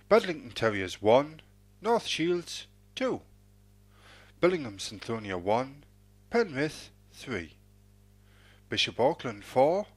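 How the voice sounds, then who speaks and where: Slow, lilting male results announcer. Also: mid-range voice, no room sound. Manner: measured, formulaic sing-song cadence. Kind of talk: football results read-out.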